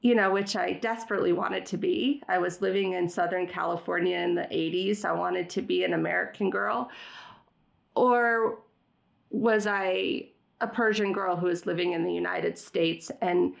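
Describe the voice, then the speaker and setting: Woman, middle-aged, gravelly voice, Farsi first language, reflective, fillers and restarts, interview guest. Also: on the low side, fairly even intonation, moderate pace. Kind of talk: conversational interview.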